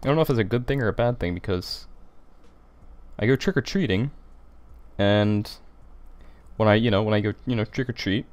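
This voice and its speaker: A teenage boy with a deep voice, hosting a casual livestream and chatting with viewers, rambling and repeating himself with many fillers. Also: normal speed, long pauses. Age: teens. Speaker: livestream host. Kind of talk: casual livestream chat reading comments.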